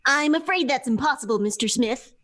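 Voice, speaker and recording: A woman's voice: high voice, brisk, fairly bright tone. A woman playing a courteous, matter-of-fact bank teller in a radio play. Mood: polite, firm. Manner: courteous, businesslike.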